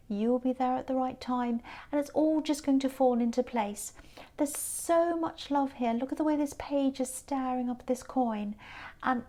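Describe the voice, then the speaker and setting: A tired woman, giving a gentle, reassuring tarot reading that sometimes drops to a whisper. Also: high voice. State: tired, croaky voice. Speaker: tarot reader.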